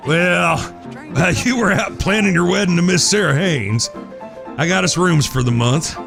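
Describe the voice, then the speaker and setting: A man's voice: high voice, normal speed, creaky. A man playing an easygoing outlaw brother with folksy cowboy phrasing in a western audio drama. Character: laid-back male Old West outlaw brother, the planner. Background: music.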